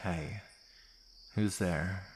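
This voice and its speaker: Man acting a taunting soldier villain, deep voice, slow menacing drawl, mockingly sweet, smug, audio roleplay. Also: slightly rough, full character voice. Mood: wary, challenging.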